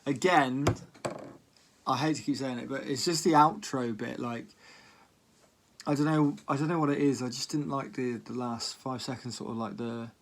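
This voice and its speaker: A man's voice: medium pitch, moderate pace, slight background noise. A man giving casual spoken commentary as a music reaction vlogger, with a hesitant, rambling delivery.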